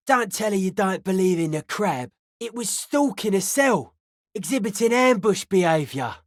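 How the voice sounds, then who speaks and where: An actor playing a laid-back young hardcore underground raver who is wary of cops, talking in sardonic street slang for a video game. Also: male voice, high voice, dry recording, clean studio sound. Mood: cynical, conspiratorial.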